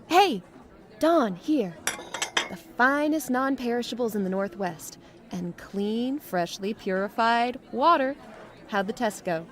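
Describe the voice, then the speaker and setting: A woman's voice: on the high side, animated, slow. An actress playing a friendly, hospitable settlement woman in an audio drama, welcoming and lightly teasing. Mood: cheerful, teasing.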